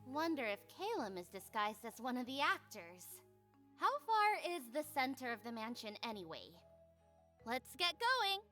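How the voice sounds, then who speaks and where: A woman's voice: sits high, big pitch swings, normal speed, bright, forceful tone, faint music behind, exaggerated voice. A woman voicing a brash, scheming teenage magical girl in a visual novel, with theatrical, exaggerated delivery. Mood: blunt. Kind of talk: visual novel character acting.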